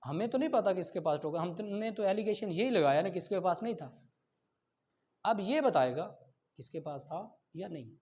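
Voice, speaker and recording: Male law lecturer, Pakistani accent, high voice, didactic delivery that checks for understanding, on a dull lo-fi recording. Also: animated, moderate pace, a little mellow. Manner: didactic, checks understanding often, switches between Urdu and English. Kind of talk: classroom lecture, reading statutes aloud and explaining them.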